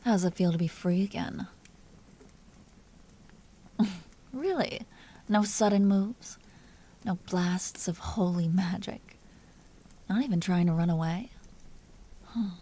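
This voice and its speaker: Woman voicing a slow, breathy, seductive vampire duchess. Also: on the low side, dark tone. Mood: taunting. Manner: slow, breathy delivery dropping often into whispers. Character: dominant female vampire duchess, seductive, teasing, coolly imperious. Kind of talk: scripted audio roleplay, intimate monologue to listener.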